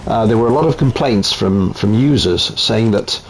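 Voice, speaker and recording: A man's voice: fairly low pitch, moderate pace, vocal fry, slight background noise, low-quality recording. A man from Italy with an Italian accent, chatting casually at home through gameplay commentary with frequent ums. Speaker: game reviewer.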